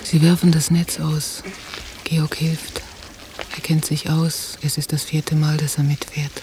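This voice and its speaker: An actress playing a watchful woman on holiday, narrating a radio drama in a measured, introspective way. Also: sits low, level tone, background noise. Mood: pensive. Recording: 1990 radio drama, music behind.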